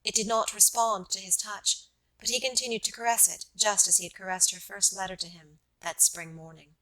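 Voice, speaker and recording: A woman reading literary fiction as an audiobook narrator, measured and precise in her phrasing. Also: mid-range voice, fairly even intonation, bright, forceful tone, poor audio.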